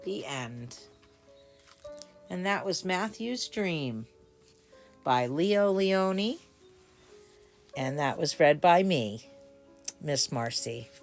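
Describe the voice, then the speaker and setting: Low-voiced woman reading a children's story, unhurried. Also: lively intonation, soft background music. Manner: deliberate, dramatic phrasing for small children. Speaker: story time reader. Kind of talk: expressive children's storybook narration.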